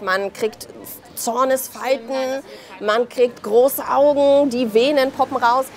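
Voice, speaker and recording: A woman in her thirties from Belarus speaking as an interview guest in an impassioned, colloquial way, often acting out quoted remarks. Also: medium pitch. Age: 30s. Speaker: interview guest, author and journalist. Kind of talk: impassioned spoken interview answers.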